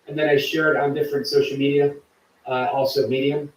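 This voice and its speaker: A man's voice: fairly high pitch, little pitch movement, fairly quick, echoey room, recorded from a distance, rough recording. A man hosting a live stream, chatting casually and reading viewer comments aloud. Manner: chatty, warm to viewers, reads chat aloud. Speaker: livestream host.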